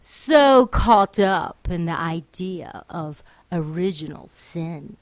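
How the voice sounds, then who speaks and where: An actress in a radio drama delivering a scripted monologue as a solemn, scornful judge of human morals. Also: female voice, lowish voice, animated, dry recording. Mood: grave disdain. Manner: solemn, deliberate. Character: grave, scornful narrator judging human morality.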